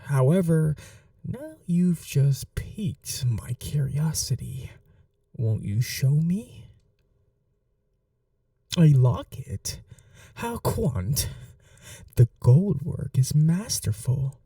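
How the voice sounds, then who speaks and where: A man playing a sadistic, possessive vampire lord in an ASMR roleplay, speaking softly and breathily with courtly, teasing menace and dropping often into whispers. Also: fairly high pitch, big pitch swings, drawn-out words, long pauses, no echo, clean studio sound, recorded from a distance, exaggerated voice. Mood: curious, polite. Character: sadistic, possessive male vampire lord, suave seductive villain. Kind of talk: ASMR roleplay audio drama.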